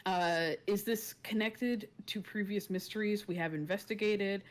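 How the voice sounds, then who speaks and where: A woman's voice: medium pitch, fairly even intonation, measured pace. A woman chatting casually as a player in a tabletop roleplaying game.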